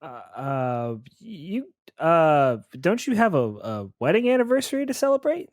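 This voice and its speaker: A man playing a tabletop game, improvising casually in character with a hesitant, halting delivery. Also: on the high side, big pitch swings, no room sound, studio quality. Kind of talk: casual improvised role-play.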